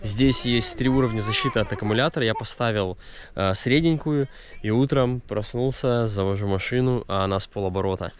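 A man's voice: fairly low pitch, fairly quick. A man in his thirties chatting informally and in slang as he narrates a family travel vlog on a handheld camera. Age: 30s. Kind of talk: casual vlog narration while filming. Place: outdoors at a campsite, in a car, in a mall. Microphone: handheld camera at arm's length. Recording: noisy outdoor and in-car handheld recording. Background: ambience, vehicle and outdoor noise.

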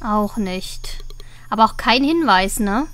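A woman's voice: medium pitch, animated, takes it slowly, soft background music. A woman with a cold, chatting casually and joking as she plays through an adventure game. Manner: chatty, joking, thinks out loud. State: has a cold. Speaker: let's play host. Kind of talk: casual gameplay commentary.

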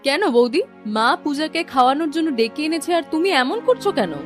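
High-voiced, aggrieved young wife. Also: female voice, lively intonation, fast talker, music in the background. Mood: firm, reproving. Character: aggrieved, fair-minded young daughter-in-law. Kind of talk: children's cartoon voice acting.

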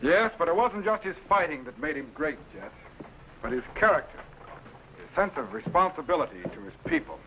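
An actor playing an authoritative space captain and mentor, formal and didactic, in 1950s children's television style. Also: male voice, on the high side, sing-song, a little fast, sharp tone, low-quality recording. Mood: earnest, admiring. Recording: vintage TV film soundtrack, faint noise.

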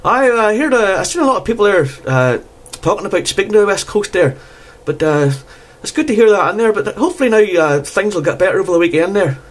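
A high-pitched man chats casually over amateur radio. He talks like a hobby operator and keeps dropping "there" at the ends of his phrases. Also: lively intonation, fast. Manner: repeatedly tags phrases with "there". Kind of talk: casual radio contact chat.

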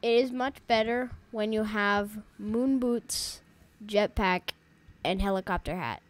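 Woman let's-play host, relaxed slow delivery, chatty and rambling, slightly off voice from allergies. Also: mid-range voice. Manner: chatty, rambling, talks to viewers as "guys". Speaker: gaming YouTuber. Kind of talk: casual let's-play commentary.

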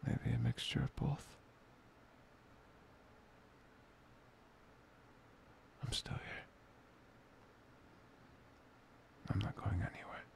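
A young man playing a soft, playful, devoted boyfriend in an intimate ASMR roleplay, breathy, hesitant and often near a whisper. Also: on the low side, wildly swooping pitch, brisk, slight background noise, exaggerated voice. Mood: tender, reassuring.

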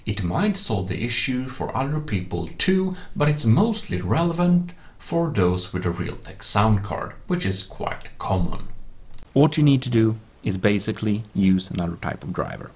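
A man's voice: fairly low pitch, very animated, moderate pace, darkish tone. A man narrating a tech tutorial as its host, in slightly awkward non-native English phrasing with some off-the-cuff asides.

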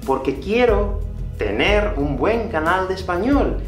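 A Spanish man in his thirties teaching Spanish to camera, lively and gesturing, repeating phrases for learners. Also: sits high, slow pace, roomy sound, faint music behind. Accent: Spanish from Spain. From Spain. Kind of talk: YouTube language lesson to camera. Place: small room with whiteboard and shelves, indoors.

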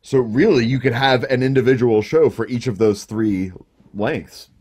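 A man co-hosting a game show podcast, relaxed and explaining things at length with plenty of fillers. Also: medium pitch, moderate pace, husky. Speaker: podcast co-host. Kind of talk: casual chat.

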